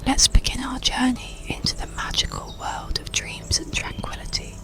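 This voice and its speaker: A storyteller whispering a bedtime story and guided relaxation in a breathy, soothing, gentle ASMR manner. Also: sits high, huge pitch swings. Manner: slow, soothing, gentle delivery.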